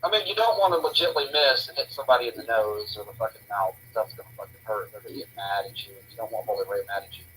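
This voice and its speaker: A young man co-hosting a casual wrestling talk show, excitable, swearing freely and acting out moves. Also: fairly low pitch, quick pace, hardly any pauses, a little hiss or hum, rough recording. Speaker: co-host. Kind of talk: casual wrestling podcast chat.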